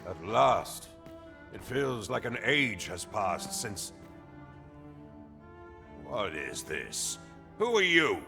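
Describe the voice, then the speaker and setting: A man's voice: on the low side, very animated, drawn-out words, gravelly, long pauses, over music, heavily acted. An actor playing a haughty, indignant villainous nobleman in a fantasy game, with pompous, theatrical delivery. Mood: suspicious. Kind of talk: dramatic fantasy game acting.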